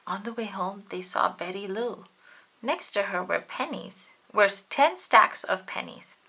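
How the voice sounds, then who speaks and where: A woman narrating a children's storybook aloud in an expressive way, made for acting out the characters. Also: mid-range voice, a little slow, gravelly, a little hiss or hum, rough recording. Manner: animated, acts out character dialogue.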